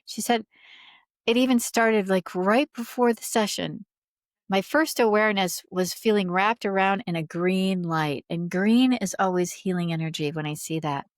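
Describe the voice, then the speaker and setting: A woman's voice: medium pitch, moderate pace, no room sound, clean studio sound. A woman guest on a podcast speaking informally and earnestly as a healer, sharing stories and testimonials in a conversational way. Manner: earnest, informal, frequent "like" and "right?". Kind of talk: conversational storytelling, reading out testimonials.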